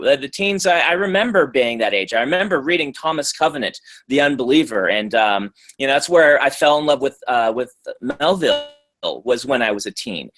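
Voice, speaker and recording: A man, an author being interviewed, giving casual answers at an even, middling pitch, thoughtful with frequent ums and restarts.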